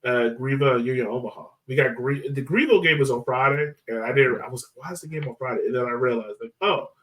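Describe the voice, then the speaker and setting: A man's voice: medium pitch, fast, a bit bright, poor audio. A man hosting a sports podcast in casual, conversational soccer chat.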